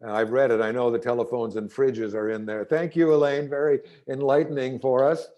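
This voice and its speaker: A man's voice: mid-range voice, flat delivery, fairly quick, mellow, muffled recording. A man hosting an event, speaking in a casual introductory style. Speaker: event host.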